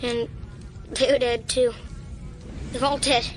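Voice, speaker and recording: A woman playing a young schoolboy survivor in an audio drama, shaken and halting. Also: fairly high pitch, little pitch movement, soft background music, poor audio. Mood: dazed, shaken.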